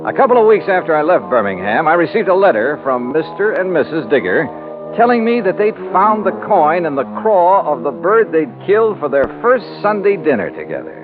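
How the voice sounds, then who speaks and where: A man's voice: fairly high pitch, very animated, moderate pace. A male actor playing an American insurance investigator, narrating and talking in old radio detective style. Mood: wry, summing up. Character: brisk, generous male insurance investigator, narrating his case. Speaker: radio drama lead and narrator. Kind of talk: 1950s radio detective acting. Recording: narrow-band old radio, music behind.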